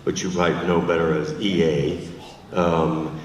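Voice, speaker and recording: Very deep-voiced older man in a hall. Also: little pitch movement, slow pace, darkish tone. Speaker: panel speaker. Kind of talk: conference talk. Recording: echoey hall from far off.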